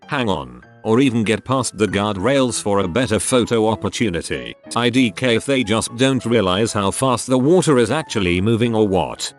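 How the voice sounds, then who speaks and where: A man reading out online stories as scripted narration, in a lively, expressive storytelling manner, with faint music underneath. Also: fairly low pitch.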